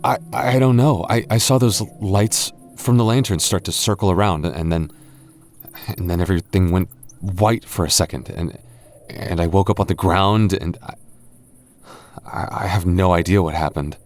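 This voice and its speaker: Man, young adult, very low voice, boyfriend roleplay, teasing, stammers when nervous. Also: normal speed, darkish tone. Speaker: voice actor playing a goth boyfriend.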